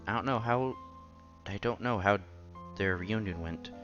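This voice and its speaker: A man's voice: on the low side, measured pace, a bit bright, highs cut off. A man reading a visual novel aloud as narrator and lead, now and then stumbling over words and rereading them. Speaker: visual novel reader, channel host. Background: background music.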